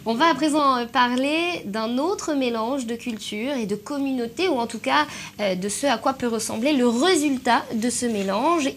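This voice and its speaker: A woman hosting a live television morning show, interviewing and presenting with measured, sometimes hesitant phrasing. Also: on the high side, slight background noise. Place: television studio.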